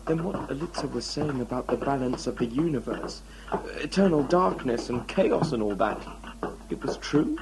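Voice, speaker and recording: A man's voice: medium pitch, lively intonation, moderate pace, noisy background, natural voice. An actor playing an exasperated, sarcastic, hapless man on an adventure in an audio drama. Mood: querulous. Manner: sarcastic, complaining.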